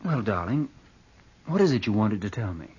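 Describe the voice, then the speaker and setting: An actor playing a murderous, imperious young heir in a theatrical 1940s radio drama style, brooding and curt. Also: male voice, lowish voice, very animated, normal speed, darkish tone, breathy edge, heavily acted. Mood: stung. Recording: muffled old radio drama recording.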